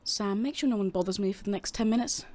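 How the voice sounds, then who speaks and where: An actress playing a brisk university vice-chancellor in an audio drama. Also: female voice, medium pitch, darkish tone, a little hiss or hum, natural voice. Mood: dry, dismissive. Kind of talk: scripted audio drama dialogue.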